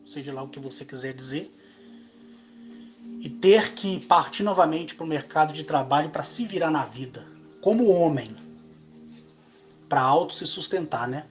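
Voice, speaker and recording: A Brazilian man in his forties, speaking in a slow, emphatic monologue broken into short phrases. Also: on the high side, expressive, soft background music. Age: 40s. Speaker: channel host. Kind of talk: monologue to camera.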